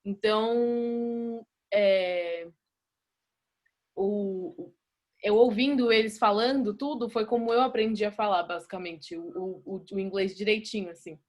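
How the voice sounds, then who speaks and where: A woman's voice: mid-range voice, a little slow, a little mellow. A young woman with a Brazilian Portuguese accent who has just returned from a student exchange. She talks casually at a club meeting, with many fillers and restarts. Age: young adult.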